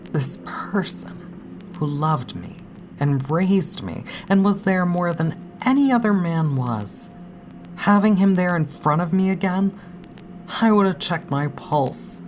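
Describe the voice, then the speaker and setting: A woman acting a grieving, haunted daughter in an audio drama monologue, speaking intimately and haltingly with hushed, breathy dips. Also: a bass voice, background noise. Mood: tearful, wistful. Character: grieving adult daughter, anxious, haunted, longing for her dead father.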